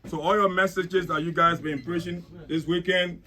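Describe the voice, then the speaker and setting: A man serving as a board secretary gives a formal thank-you speech at an outdoor community gathering. He talks in a measured way and often repeats words while he searches for the next one. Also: high voice, level tone, sharp tone. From Liberia. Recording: noisy crowd all around. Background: crowd chatter.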